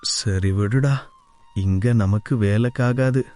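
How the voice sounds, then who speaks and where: Cartoon sidekick voiced by a man, steady and slow. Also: lowish voice. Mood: resigned. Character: cautious young village man.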